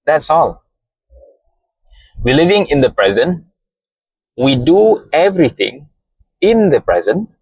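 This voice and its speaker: A man with a Malaysian accent, a native Malay speaker, giving a slow, deliberate motivational talk and often repeating phrases. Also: fairly high pitch, very animated, a little mellow, husky, no echo, rough recording. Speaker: podcast host.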